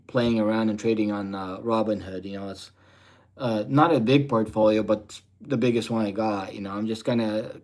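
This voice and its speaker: A man's voice: fairly low pitch, darkish tone. A young man from Russia chatting casually on a livestream, answering viewer questions with loose rambling talk full of fillers. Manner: rambling, heavy fillers like "you know" and "type deal". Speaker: livestream host.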